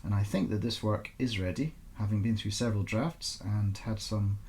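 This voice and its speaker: A man speaking as an author presenting and reading aloud his own fiction in an informal, expressive storytelling style. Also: on the low side, moderate pace, slight background noise. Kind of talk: informal introduction, then expressive audiobook-style narration.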